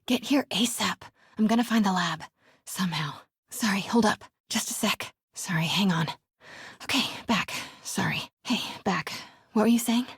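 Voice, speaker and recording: Quick-witted young woman, video game heroine, husky and breathy, dry close sound, wry self-talk, curious. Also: medium pitch, no echo. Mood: hurried. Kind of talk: video game character dialogue, muttering to herself while sneaking.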